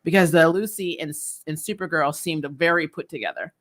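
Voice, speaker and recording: A woman's voice: sits low, a little fast. A woman hosting a recap show, giving casual, opinionated episode commentary in an informal, wry way. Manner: informal and opinionated, with mild swearing and wry asides.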